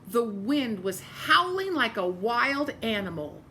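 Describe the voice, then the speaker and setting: Slow, bright-voiced woman reading a storybook. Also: a little high, big pitch swings, slight background noise. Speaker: storybook reader. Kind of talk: expressive picture-book read-aloud with asides to young listeners.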